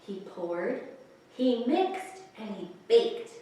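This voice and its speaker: A woman reading picture books aloud to young children, pausing to explain the pictures and ask questions. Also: fairly high pitch, sing-song, warm tone. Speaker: children's librarian, story time host. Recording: echoey, distant microphone, faint hiss.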